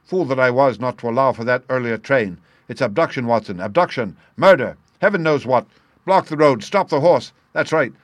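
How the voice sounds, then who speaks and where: A man voicing a sharp detective who speaks in a quick, clear, commanding way. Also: medium pitch, dry recording, natural voice. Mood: urgent.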